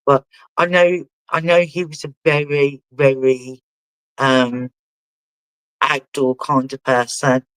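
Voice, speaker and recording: A man working as a spiritual medium, giving a live reading in a halting way and often asking the sitter whether it makes sense. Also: mid-range voice, little pitch movement, a bit bright, clean tone, dry recording, rough recording. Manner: halting, relays messages and keeps asking the sitter to confirm.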